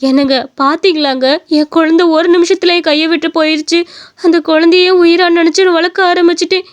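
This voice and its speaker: Casting: woman voicing poor cartoon mother, high fast voice, tearfully imploring and devoted. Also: fairly even intonation, highs cut off. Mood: grieving. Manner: imploring, emotional. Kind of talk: cartoon story dialogue.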